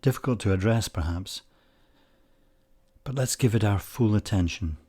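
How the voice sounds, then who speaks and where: A man leading a guided meditation in calm, measured short phrases with long pauses. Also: fairly low pitch, breathy edge.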